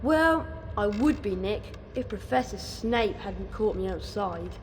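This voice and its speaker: A woman's voice: medium pitch, lively intonation, measured pace, noisy background, lightly acted. A woman voicing a polite, earnest young English schoolboy in a video game. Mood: polite, curious.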